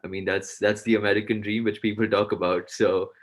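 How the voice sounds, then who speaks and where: A young man with a low-pitched voice hosts a semi-formal interview. He introduces and questions his guest in the role of a student interviewer. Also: little pitch movement, fairly quick. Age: young adult.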